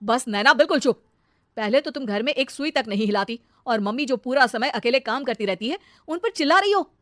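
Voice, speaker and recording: A woman voicing an exasperated young husband in a cartoon story, scolding forcefully with sarcasm. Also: on the high side, expressive, fairly quick, no echo, clean studio sound. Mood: angry. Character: exasperated young husband standing up to his wife. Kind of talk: cartoon moral-story dialogue.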